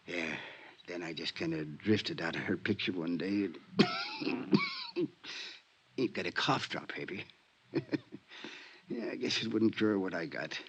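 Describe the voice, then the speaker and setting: An actor playing a dying small-time con man in a radio drama, with a raspy, coughing voice and halting, slangy tough-guy talk, sick and short of breath. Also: deep voice, fairly bright tone, heavily acted. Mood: halting, confessing. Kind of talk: 1950s radio drama acting.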